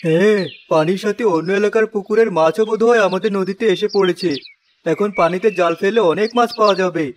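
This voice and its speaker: A man playing a concerned, wondering village fisherman in an animated story. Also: sits high, brisk, slight background noise, natural voice. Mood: hopeful. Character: village fisherman, longtime river man, anxious companion.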